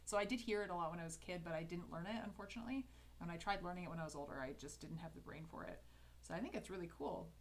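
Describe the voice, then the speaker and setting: A woman with a Canadian English accent talks to her livestream viewers while lettering. Her voice sits a little low, and she sounds upbeat and chatty. She often restarts her sentences and drops in likes and ums. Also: very fast, slight background noise. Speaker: calligraphy livestreamer. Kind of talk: casual chat with live viewers while lettering.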